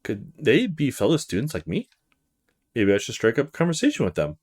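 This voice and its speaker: A man's voice: medium pitch, huge pitch swings, fairly quick, darkish tone. A man voicing a polite young male student in a visual novel, thinking to himself in casual short fragments. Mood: musing. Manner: casual inner monologue with short musing fragments.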